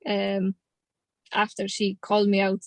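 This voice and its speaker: A woman's voice: mid-range voice, monotone, measured pace, dry recording. A woman in her thirties from Poland with a Polish accent, a boxer speaking in a casual interview in plain, determined, slightly non-native English. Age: 30s. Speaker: professional boxer.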